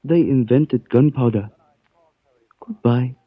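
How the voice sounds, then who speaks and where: An actor playing a suave, menacing older Chinese man in a 1940s radio mystery. He speaks formally and politely, in a measured way, and likes proverbs. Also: medium pitch, husky, dry recording, soft background music. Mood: calm menace. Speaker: mystery character in a radio drama. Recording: dull, dry old radio recording.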